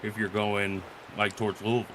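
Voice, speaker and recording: A man's voice: on the low side, flat delivery, brisk, fairly bright tone. A man chatting casually on a live stream, blunt and prone to swearing. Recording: clearly noisy background.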